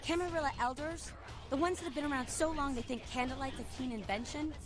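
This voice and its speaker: A woman's voice: sits high, quick pace, lightly acted. A woman playing a hostile, brash young vampire anarchist den mother in a video game, combative and sneering. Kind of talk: video game dialogue acting. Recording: music behind the voice.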